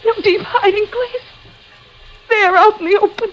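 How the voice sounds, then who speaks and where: An actress playing a frightened but determined wife in a 1950s radio thriller, intense and melodramatic. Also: female voice, very high-pitched, steady tone, normal speed, fairly bright tone. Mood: alarmed. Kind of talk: 1950s radio drama acting and narration. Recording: dull old radio recording with music.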